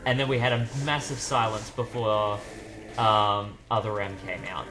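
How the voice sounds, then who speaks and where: A man's voice: fairly low pitch, flat delivery, normal speed, rough recording. A man giving casual gaming commentary as a let's play host, rambling off on tangents as he plays.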